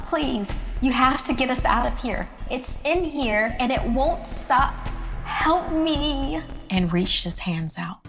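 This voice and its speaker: A woman with a fairly low voice narrates a scary story. She reads dramatically, breaking phrases for suspense, over a faint music bed. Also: fairly bright tone. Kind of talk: dramatic scripted horror narration.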